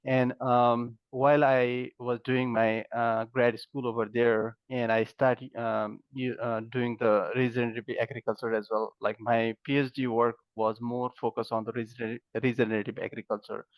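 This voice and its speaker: A man talks through his work as a podcast guest, stopping often on fillers as he builds each point. His voice sits at an ordinary middle pitch. The recording is dull and lo-fi, with no room sound at all. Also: little pitch movement, dry recording. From Nepal. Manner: halting, many fillers, explanatory. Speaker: guest, agronomy professor. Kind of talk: podcast interview.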